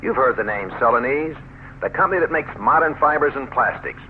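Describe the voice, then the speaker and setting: A man's voice: medium pitch, animated, brisk. An American man reading a scripted radio commercial in a chatty, folksy way. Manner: chatty, folksy sales patter. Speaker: commercial pitchman. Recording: narrow, noisy 1970s radio recording.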